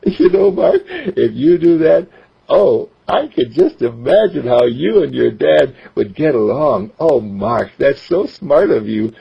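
A man voicing a patient, loving mother who speaks in a soft, coaxing way. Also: medium pitch, sing-song, unhurried, creaky, slightly rough, dull audio, voice pushed up, full character voice. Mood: delighted.

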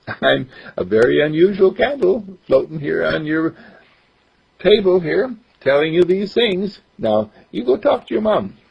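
A man voicing a kindly magical talking candle who asks gentle, patient questions in a storybook tale. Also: fairly high pitch, big pitch swings, unhurried, vocal fry, slightly rough, highs cut off, pitched above the performer's own voice, exaggerated voice.

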